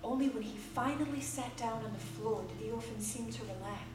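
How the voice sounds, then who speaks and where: A woman delivers scripted narration as part of a live staged reading, dramatic and deliberate. She is some way from the microphone, with strong room reflections and noticeable noise around her. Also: a little high. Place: theatre stage, live performance. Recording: roomy live theatre, distant, noisy.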